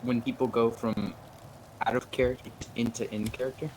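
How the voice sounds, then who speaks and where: Halting male gamer on faintly noisy chat. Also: medium pitch, flat delivery. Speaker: online roleplay game player. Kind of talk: casual gaming voice chat.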